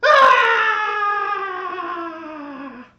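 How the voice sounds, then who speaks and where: A man voicing an indignant, high-strung potato pancake from a storybook, loud and exclaiming. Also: lively intonation, extremely slow, full character voice. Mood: screaming. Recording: dull, roomy, faintly noisy home recording.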